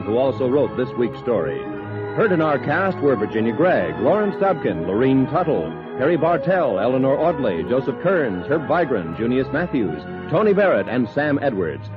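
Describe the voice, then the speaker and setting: Male radio announcer, 1950s style, lively intonation, scripted, muffled broadcast, music behind. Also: mid-range voice.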